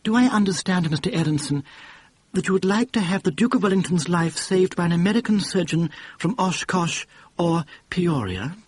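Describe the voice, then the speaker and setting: A man's voice: high voice, animated, moderate pace, husky, dry recording, voice pushed up. A man reading aloud, voicing a tall, refined young man who corrects his boss with prim deference. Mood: deferential.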